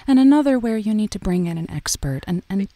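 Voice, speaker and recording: A woman's voice: mid-range voice, animated, fast, mellow, no room sound, close to an everyday voice. A woman playing a radio advice host, wry and frank, with hesitant reassurance in a call-in drama. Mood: concerned, careful.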